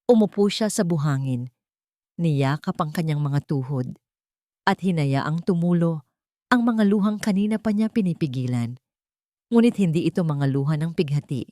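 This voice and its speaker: A woman narrates a romantic drama in a low voice. The delivery is scripted storytelling with a dramatic bent. The recording is dry, with very little room sound. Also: animated, normal speed, mellow, no room sound. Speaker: audiobook-style story narrator.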